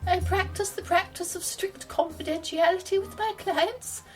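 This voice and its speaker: A woman's voice: very high voice, steady tone, normal speed, soft background music. An actress playing a bossy, caustic woman with a theatrical, formal manner, like a soothsayer in a comedy audio drama. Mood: mock-mystical, stern. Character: tart, bossy woman posing as mysterious soothsayer.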